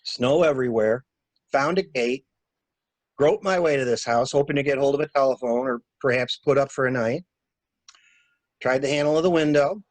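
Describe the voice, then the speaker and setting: A man's voice: mid-range voice, moderate pace, long pauses, no echo, close to an everyday voice. A man playing a talkative, flustered stranded engineer with a polite, stammering, theatrical manner in a murder mystery reading. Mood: explaining. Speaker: actor in an amateur library reading.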